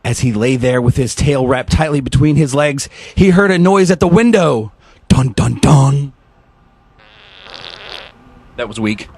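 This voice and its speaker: A man's voice: mid-range voice, measured pace, pauses often, a little mellow, husky, no room sound. A man who works as a radio host reads a storybook aloud in a playful, mock-dramatic way, with off-the-cuff asides.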